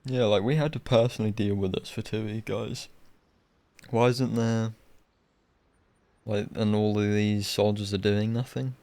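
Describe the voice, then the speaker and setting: A man's voice: on the low side, little pitch movement, measured pace, long pauses, dark tone, no room sound. A man streaming a video game with casual live commentary, rambling in an offhand, slangy way. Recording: faint game music behind. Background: faint game music.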